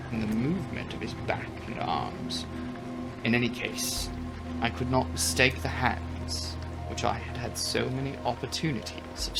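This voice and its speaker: A man gives a dramatic audiobook narration. His lowish voice moves expressively up and down, with prominent music playing behind him. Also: creaky, rough recording.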